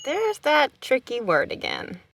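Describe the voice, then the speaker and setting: A woman's voice: sits high, wildly swooping pitch, unhurried, background noise, exaggerated voice. A woman acting as an informed commentator in an audio play, explanatory and dry in manner.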